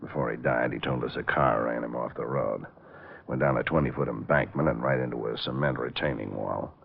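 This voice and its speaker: Very deep, gravelly police officer. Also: male voice, brisk, heavily acted. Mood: grim, matter-of-fact. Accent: American. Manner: terse, matter-of-fact. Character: sober hard-working male police officer. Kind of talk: 1940s radio drama acting. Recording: muffled, faintly noisy old radio recording.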